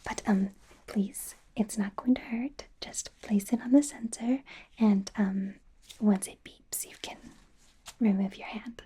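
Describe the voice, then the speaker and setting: A woman playing an awkward, gentle, rambling werewolf doctor in a whispered ASMR medical roleplay, flustered and often correcting herself. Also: medium pitch, fairly even intonation, unhurried, slight background noise, lightly acted. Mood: gentle, explaining. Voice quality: breathy whisper. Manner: flustered, self-correcting, giggly, over-explaining.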